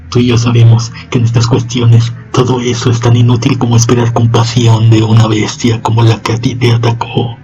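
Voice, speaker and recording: A man hosting a horror radio show, speaking slowly in an ominous, theatrical manner. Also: lowish voice, muffled recording. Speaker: host.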